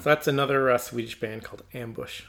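Gravelly-voiced man chatting casually. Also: medium pitch, steady tone, fast talker, loud music behind the voice. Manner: loose and rambling, many fillers. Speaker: podcast co-host. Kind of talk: casual chat about heavy metal.

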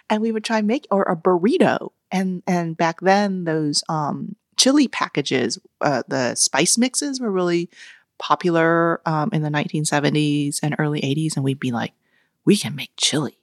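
A woman in her forties from Vietnam with a low voice, recorded close and dry. She tells family stories in a lively way, laughs often and acts out what her relatives said. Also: normal speed, no echo. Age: 40s. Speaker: guest. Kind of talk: casual storytelling interview.

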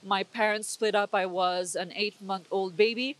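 A woman's voice: medium pitch, fairly even intonation, normal speed. Intense woman from Switzerland, urgent. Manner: intense, urgent, with frequent restarts. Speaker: interview guest. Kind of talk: earnest political commentary. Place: conference venue.

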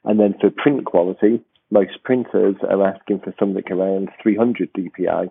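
A man hosting a podcast, with a croaky voice and a rambling, restarting way of talking. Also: deep voice, steady tone, normal speed, dry recording. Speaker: podcast host. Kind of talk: casual podcast chat. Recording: tinny phone-like line.

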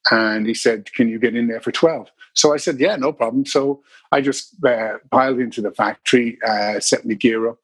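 Middle-aged Irish man, husky and dry, rambling interview storyteller. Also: medium pitch, normal speed, fairly bright tone, no echo. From Ireland. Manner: rambling, many restarts and fillers. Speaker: bass player guest.

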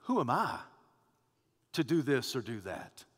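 A man preaching a sermon, swinging from quiet, low passages to shouted rhetorical questions. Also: sing-song, unhurried, slightly rough, long reverb tail. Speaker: pastor.

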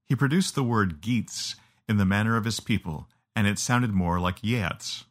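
Male narrator for audiobook storytelling, fairly low register, scripted read. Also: normal speed.

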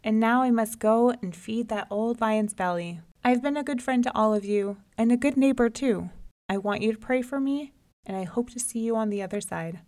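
A woman's voice: a little high, lively intonation, normal speed, darkish tone, pitched above the performer's own voice. A woman voicing a wily male trickster rabbit in a storybook tale, pleading and coaxing. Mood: mournful. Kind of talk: storybook character voice.

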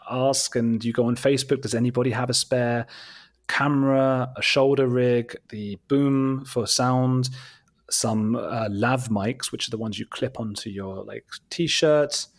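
A man with a husky voice, a guest telling casual, rambling anecdotes in a conversational, informal way, often interrupting himself. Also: medium pitch, fairly even intonation, unhurried. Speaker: interview guest, documentary maker.

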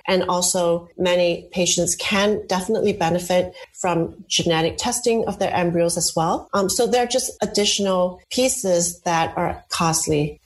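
A woman answering interview questions in long, measured explanations as a representative of a fertility company. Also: fairly low pitch.

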